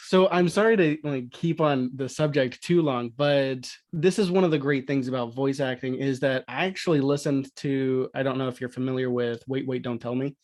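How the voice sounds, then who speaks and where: A man's voice: medium pitch, moderate pace, few pauses, darkish tone. A man talking casually and conversationally as a panelist on a podcast. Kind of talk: casual chat.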